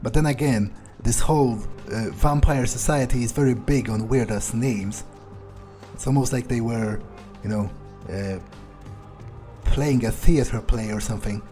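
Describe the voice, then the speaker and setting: A man narrates his game playthrough in character with a dark, relaxed voice of middling pitch. He muses aloud casually and haltingly, with faint music underneath. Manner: musing, casual, hesitant. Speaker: gamer playing in character.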